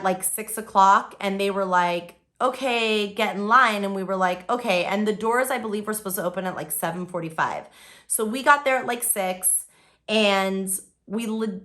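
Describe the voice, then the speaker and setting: A young woman talks straight to camera at home in a relaxed, rambling way, peppering everything with "like" and "um". Her voice is bright and clear, and she has only recently got it back after losing it. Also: medium pitch. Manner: chatty, lots of "like" and "um". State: voice recently lost, recovering. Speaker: vlogger. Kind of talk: casual vlog recap.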